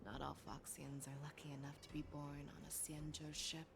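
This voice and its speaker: A woman voicing a battle-hardened fox-folk general in a video game, commanding, grave and measured. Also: sits low, little pitch movement, vocal fry, background noise.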